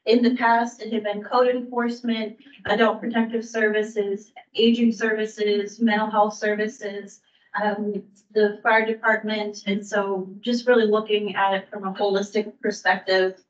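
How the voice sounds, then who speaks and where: A woman who works for a county aging services bureau, giving a staff update at a public meeting in a measured way, with frequent ums and restarts. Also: mid-range voice, flat delivery. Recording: distant roomy mic, dull sound.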